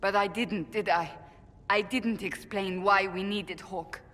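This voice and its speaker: An actress playing a stern, earnest warrior woman in a fantasy game, speaking gravely and with self-reproach. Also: fairly even intonation, a little slow, a bit bright, close to an everyday voice. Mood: bitter regret. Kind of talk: dramatic video game cutscene acting. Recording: long reverb, noisy rumbling background.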